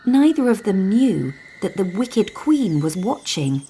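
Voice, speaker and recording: A woman storyteller narrating a scripted fairytale for young children with deliberate, dramatic phrasing. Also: mid-range voice, big pitch swings, dark tone, a little hiss or hum. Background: music.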